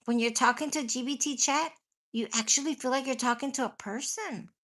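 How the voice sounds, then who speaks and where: Chatty woman with a bright voice. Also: sits high, brisk. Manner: rambling, laughs often, swears casually. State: stuffy nose and cough. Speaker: livestream host chatting with viewers. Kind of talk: casual live chat.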